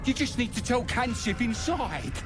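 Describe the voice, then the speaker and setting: An actor voicing a crude, nervous goblin minion in a video game. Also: male voice, extremely high pitch, expressive, normal speed, music in the background. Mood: uneasy, placating. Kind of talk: video game character acting.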